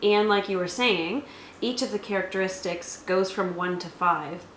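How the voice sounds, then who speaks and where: A woman's voice: mid-range voice, moderate pace, a bit bright. A woman talking casually as a game master and co-host, explaining things in a review conversation. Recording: roomy echo, faint background noise.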